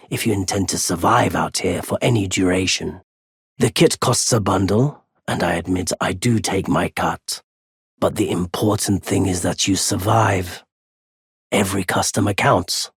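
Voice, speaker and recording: An actor playing a weary, courteous nomadic merchant in a video game, husky and breathy, with a measured, wry and understated manner. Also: male voice, sits low, steady tone, no room sound, studio quality, exaggerated voice. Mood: courteous, welcoming. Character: weary, courteous nomadic merchant man with a quietly unforgiving streak. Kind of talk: video game character dialogue.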